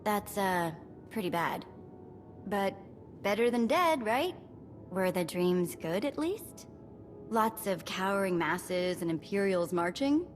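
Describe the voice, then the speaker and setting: An actress playing a sassy, playful thief in a video game, talking in a casual, slangy, teasing way. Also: female voice, medium pitch, lively intonation, takes it slowly. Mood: warm, chatty. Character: sassy, playful female thief, breezy and streetwise. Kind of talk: video game cutscene acting. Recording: long reverb, music behind.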